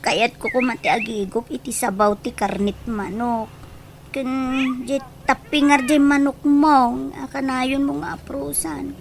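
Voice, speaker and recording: Woman acting a whiny, high-voiced pregnant wife. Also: fairly even intonation, measured pace, vocal fry, noisy background. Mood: craving, coaxing. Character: whiny, lazy pregnant wife with demanding cravings. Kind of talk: comedic radio drama acting.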